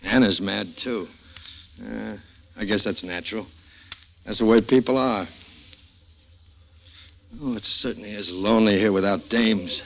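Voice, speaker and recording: A resigned condemned crook. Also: male voice, fairly low pitch, unhurried, vocal fry, long pauses, slight background noise. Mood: wry resigned. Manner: wry, resigned. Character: bitter jailed male crook awaiting execution. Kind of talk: 1940s radio acting. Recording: dull old radio broadcast recording.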